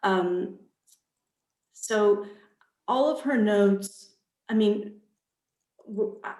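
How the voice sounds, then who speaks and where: A woman giving a reflective answer as an author on a panel, halting and thoughtful, in a roomy, echoing recording. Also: medium pitch, mellow. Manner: halting, thoughtful, pauses mid-phrase. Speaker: author on a panel, with theater background.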